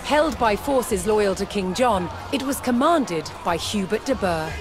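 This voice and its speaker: A woman reading scripted historical narration for a strategy game, telling the story in a measured, deliberate way. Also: mid-range voice, lively intonation, crowd noise. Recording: studio voice-over with music underneath. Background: music.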